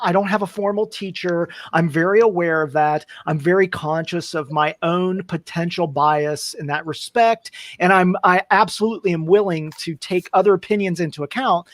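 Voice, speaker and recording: A man's voice: high voice, no echo. A man chatting casually on a panel show, apologetic and restarting his sentences.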